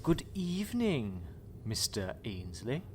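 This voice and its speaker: Man acting as slow, sinister, polite doctor. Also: medium pitch, big pitch swings, very noisy. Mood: cool menace. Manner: unctuous, measured, formal menace. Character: sinister, coolly polite male doctor who experiments on people. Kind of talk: audio drama acting.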